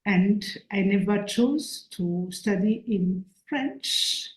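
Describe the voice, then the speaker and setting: A woman's voice: mid-range voice, very slow. A Rwandan woman whose first language is Kinyarwanda, speaking earnestly at an event and searching for her English words as an invited speaker. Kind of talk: halting public talk. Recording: echoey room.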